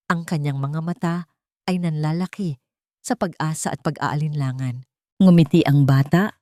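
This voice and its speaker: Low-voiced woman narrating a dramatic story. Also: darkish tone, no room sound, clean studio sound. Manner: deliberate, sentimental delivery in short phrases. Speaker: storyteller and channel host.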